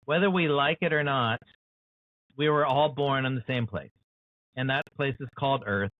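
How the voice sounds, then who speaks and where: A man's voice: medium pitch, no room sound. A man with an American accent, speaking as an author in an earnest interview discussion, full of passion and "you know" fillers. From United States. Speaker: author guest. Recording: dry, tinny phone-like call audio.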